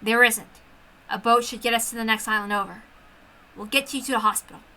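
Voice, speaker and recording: A woman's voice: fairly high pitch, steady tone, brisk, bright, forceful tone. Woman acting an earnest, protective male soldier. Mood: concerned. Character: protective, resolute Japanese soldier, adult man.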